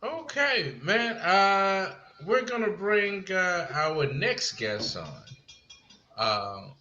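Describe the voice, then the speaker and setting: A man's voice: sits high, big pitch swings, drawn-out words, bright, forceful tone. A man co-hosting an online panel, reading out a guest's background with many hesitations. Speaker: co-host introducing guests. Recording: roomy, muffled audio with faint noise.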